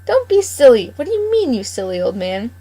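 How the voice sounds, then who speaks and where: A sharp-tongued old farmer's wife snaps and scolds in a shrill, high voice, as a woman reading a storybook puts her on. Her words come out pointed and impatient, with a peevish bite. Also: very animated, fairly quick. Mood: scolding.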